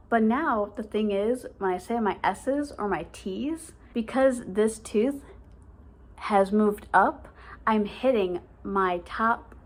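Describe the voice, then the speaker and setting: Vlogger, woman, late 20s: slight lisp, light stutter, rambling casual chat. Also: mid-range voice. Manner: speaks with a stutter; chatty, filler-heavy, rambling.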